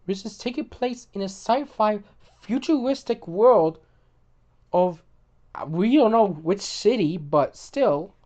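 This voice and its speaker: A woman hosting casual gaming commentary, opinionated, often repeating and restarting words, her voice getting hoarse. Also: mid-range voice, expressive, slow, mellow. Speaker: gaming video host.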